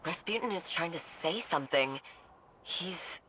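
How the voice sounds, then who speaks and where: An actress playing an earnest, curious scientist and ally in a video game, speaking in an intent, natural, conversational way. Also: female voice, on the low side, expressive, a little slow, fairly bright tone, a little hiss or hum. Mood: focused, uneasy. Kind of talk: dramatic game dialogue.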